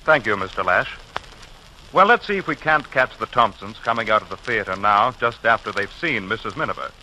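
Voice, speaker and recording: A man's voice: fairly low pitch, expressive, brisk, pushed and bright, rough recording. A man hosting a scripted 1940s radio broadcast as a folksy, showmanlike announcer. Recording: hissy 1940s radio recording.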